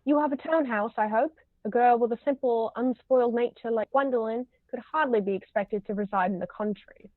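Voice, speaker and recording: Imperious society matriarch played by a woman, high-pitched and pompous, stage comedy read-through, roomy muffled sound. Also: brisk, few pauses, lightly acted. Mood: snobbish, disapproving. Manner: pompous, formal, pronouncing judgments. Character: imperious, haughty older aristocratic English lady, snobbish interrogator. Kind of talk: amateur table reading of a stage comedy. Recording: roomy, muffled low-quality recording.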